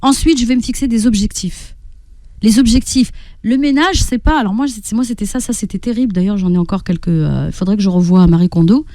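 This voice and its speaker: A woman's voice: medium pitch, a little fast, mellow, dry recording. A woman giving explanatory advice as a guest coach in a radio interview, teaching through everyday examples and frequent rhetorical questions. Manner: didactic, often checks in with rhetorical questions and acts out inner speech. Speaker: guest parenting coach and therapist. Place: radio studio.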